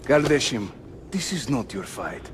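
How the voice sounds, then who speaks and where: A man's voice: mid-range voice, measured pace, a little hiss or hum. A man with a Turkish accent, playing a wry, spirited and loyal Ottoman assassin leader in a video game. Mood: urgent, firm. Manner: animated, wry. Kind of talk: cinematic game acting. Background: music.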